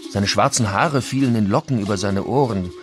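Measured male chronicler read by a man for a historical documentary, lowish register, prominent music underneath. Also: lightly acted. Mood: measured, descriptive. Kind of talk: dramatised reading of a historical account.

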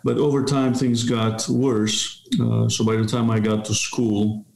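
Man, fifties, measured storytelling, low, fairly flat pitch, roomy echoing video-call sound. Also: creaky, a little hiss or hum. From Romania. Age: 50s. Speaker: guest, seminary professor and pastor. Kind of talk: autobiographical interview answer. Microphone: webcam video call.

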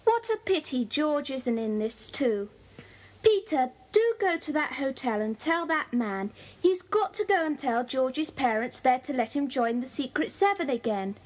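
A woman's voice: high-pitched, animated, measured pace, a little mellow, poor audio. A woman playing a concerned, earnest schoolchild, persuading others in a children's audio drama. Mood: concerned, pleading. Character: concerned, pleading school-age child of secret society.

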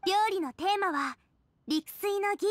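A woman's voice: extremely high pitch, measured pace, no room sound. A woman voicing a cheerful young girl chef, earnest and encouraging, in a Japanese anime-style game. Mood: proud. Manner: earnest, girlish, supportive.

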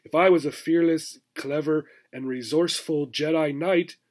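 Man voicing an earnest, high-pitched little girl gerbil. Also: fairly even intonation, moderate pace, a little mellow, natural voice. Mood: forlorn musing. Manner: pleading, protesting. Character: earnest, indignant young female pet gerbil.

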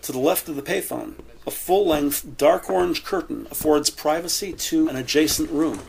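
A man reading scripted audio drama narration in a measured, descriptive way. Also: on the high side, gravelly, darkish tone, a little hiss or hum, low-quality recording.

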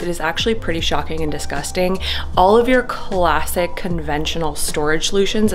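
A young woman chatting to her camera in a home kitchen, gushing and enthusiastic about her food. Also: fairly low pitch. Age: young adult. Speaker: vlogger. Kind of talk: casual vlog chat to camera. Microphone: handheld camera held close.

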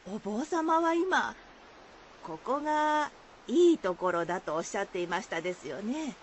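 A plain-spoken, troubled diving woman from a rural folktale for radio. Her highish voice moves expressively through earnest, humble Izu dialect. Faint noise sits in the background. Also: expressive, measured pace. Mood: wary, resigned. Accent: rural Shizuoka, Izu dialect. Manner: humble, earnest rustic speech. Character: troubled, plain-spoken village woman who dives for shellfish. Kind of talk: radio drama acting of a folktale.

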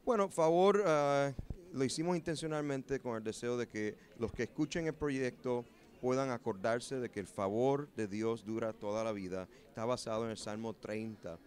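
A man's voice: mid-range voice, normal speed. A man in his forties from New Jersey, a musician and producer answering interview questions earnestly at an expo booth. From New Jersey, USA. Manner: earnest, with frequent hesitations. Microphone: handheld mic.

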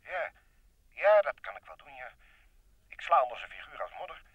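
An actor playing a bothered, hurried acquaintance over the telephone in a vintage Dutch radio drama. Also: male voice, mid-range voice, very animated, no room sound, highs cut off. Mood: annoyed, hurried. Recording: distant, thin telephone sound.

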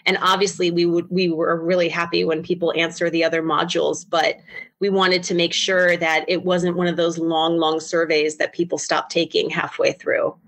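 Low-pitched American woman explaining research. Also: level tone, moderate pace, a bit bright. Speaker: academic researcher, interview guest. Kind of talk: explanatory interview talk. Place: indoors, on a video call. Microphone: video call.

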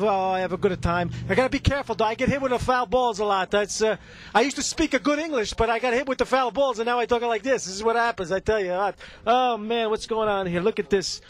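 Excitable high-pitched Italian-American vendor. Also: male voice. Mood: proud, chatty. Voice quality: broken English with stray articles. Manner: rambling, repetitive catchphrases. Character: excitable, chatty male Italian-American stadium peanut vendor. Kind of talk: improvised comic character patter.

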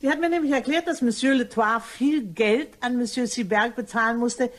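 A woman's voice: a little high, a little fast, natural voice. A woman playing a sly, chatty cleaning lady turned blackmailer in a crime radio play, ingratiating and mock-innocent in manner. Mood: sly, insinuating.

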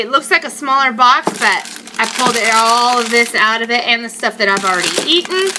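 A woman in her thirties giving a chatty, emphatic food review to camera in a casual unboxing style, recorded on a webcam in a living room. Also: high-pitched. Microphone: webcam or laptop mic at arm's length. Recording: faint noise, home webcam sound.

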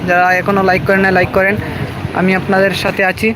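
A man hosts a casual live stream in a fairly high voice with a very flat melody. He makes polite, repetitive appeals to his viewers. Loud outdoor and vehicle noise surrounds him. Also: quick pace. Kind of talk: casual live vlog, greeting and thanking viewers. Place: outdoors in a public park. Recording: very noisy outdoor recording with vehicle sounds.

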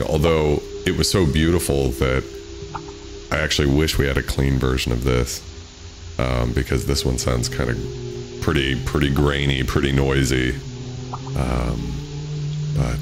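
A middle-aged man hosting a livestream, chatting in a relaxed, informal, sometimes profane way. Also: rumbling low. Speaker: streamer hosting a spooky story night. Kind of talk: casual livestream chat. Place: small indoor room at a streaming setup. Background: loud music.

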